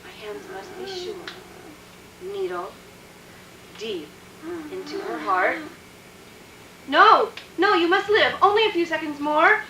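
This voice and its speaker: Anguished, high-voiced woman doctor. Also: expressive, pushed and bright, full character voice. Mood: frantic, anguished. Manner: halting, dramatic, intense. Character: anguished woman doctor, solemn, fearful experimenter. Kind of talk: theatrical radio drama acting. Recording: noisy, echoey, distant radio recording.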